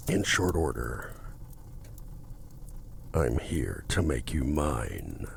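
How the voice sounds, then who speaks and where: A man playing a courtly, possessive, seductive demon in an ASMR roleplay, speaking formally and commandingly in a gravelly, at times breathy voice. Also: extremely low pitch, a little hiss or hum, exaggerated voice. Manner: slow, formal, theatrical, commanding.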